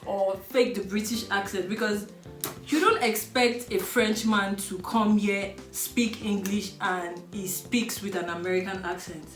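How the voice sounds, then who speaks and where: A woman's voice: mid-range voice, fairly even intonation, unhurried, echoey room, soft background music. A young woman from Southwest Nigeria with a Yoruba Nigerian accent, a guest speaking bluntly and forthrightly in casual chat.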